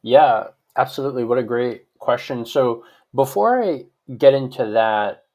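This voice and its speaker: A man's voice: mid-range voice, animated, measured pace, a little mellow. A man from Hawaii answering conversationally as an expert guest in an interview, rambling and often adding "like" and "right?". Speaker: guest expert on social media profiles.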